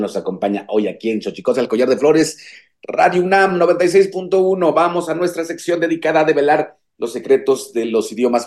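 A man's voice: medium pitch, a little mellow. A man hosting a radio show, announcing an event and repeating its details. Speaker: radio host.

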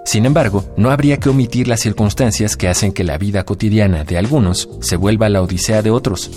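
A man's voice: on the low side, fairly quick, a little mellow, soft background music. A man narrating a radio feature in a reflective, rhetorical scripted style.

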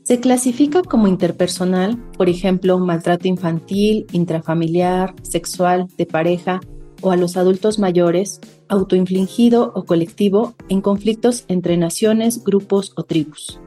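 A woman co-hosting a radio program, reading information in a steady way. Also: fairly low pitch, a little slow, faint music behind. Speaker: radio co-host. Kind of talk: scripted radio narration. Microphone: studio microphone.

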